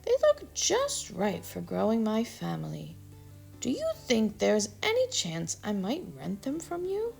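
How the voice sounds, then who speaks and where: A woman's voice: medium pitch, wildly swooping pitch, a little slow, creaky, darkish tone, soft background music, exaggerated voice. A woman voicing a polite, comforting male squirrel in a storybook. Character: kindly male squirrel gentleman.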